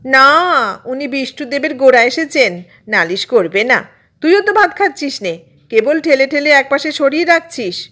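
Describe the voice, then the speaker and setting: A woman voicing a bossy, sharp-tongued girl who scolds her younger brothers, mocking and indignant, in a storybook reading. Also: high-pitched, animated, normal speed, voice pushed up.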